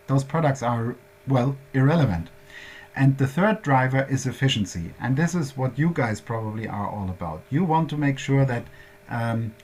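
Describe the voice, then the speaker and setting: A man's voice: medium pitch, a little mellow. German-accented male presenter, mid-range voice, methodical explainer, slight background noise. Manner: methodical, explanatory, walks through concepts step by step. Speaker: workshop presenter. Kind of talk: workshop presentation.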